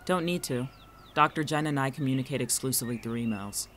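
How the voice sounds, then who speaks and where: A man's voice: medium pitch, fast talker, fairly bright tone, a little hiss or hum, natural voice. An actor playing a guarded, sarcastic male college student in a fiction podcast, with a dry, curt manner.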